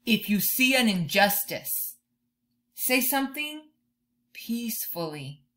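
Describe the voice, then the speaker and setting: Lady teacher reading a picture book to kids, slow n bright, super lively pitch. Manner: expressive, draws out key words, asks listeners questions. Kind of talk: expressive children's read-aloud. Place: bedroom or room at home, indoors.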